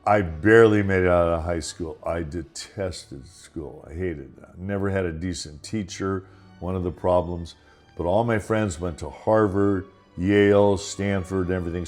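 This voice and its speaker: A man's voice: sits low, a little mellow. An older man from Los Angeles hosting a show, talking in a loose, rambling monologue full of restarts and asides. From Los Angeles, United States. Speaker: podcast host. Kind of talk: casual monologue.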